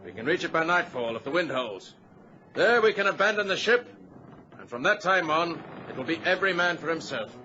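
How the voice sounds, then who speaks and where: An actor playing a deferential but decisive first mate in an old radio drama, clipped and dutiful. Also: male voice, a little high, animated, a little fast, crowd noise, natural voice. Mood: commanding. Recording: muffled, slightly noisy vintage radio recording.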